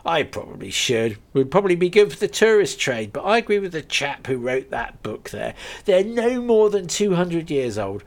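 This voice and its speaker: A man's voice: fairly high pitch, sing-song, moderate pace, pitched above the performer's own voice. A man doing a cheerful farmer in his forties, raised a few notches above the reader's own voice, chatty and teasing, his telling swinging up and down with plenty of lift. A jovial storybook countryman.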